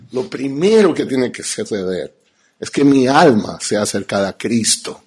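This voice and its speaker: A man with a Dominican accent teaches like a pastor at a conference. His voice is husky and of medium pitch. He speaks emphatically, pausing deliberately to let each point land. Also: darkish tone. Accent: Dominican Spanish. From Dominican Republic. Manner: emphatic, rhetorical questions, deliberate pauses. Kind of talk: teaching sermon to an audience.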